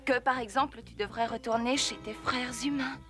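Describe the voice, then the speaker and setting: Woman as a high-voiced, sheepish young wolf. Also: fairly even intonation, music in the background, close to an everyday voice. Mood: apologetic. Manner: hesitant, halting. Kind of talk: French cartoon dubbing.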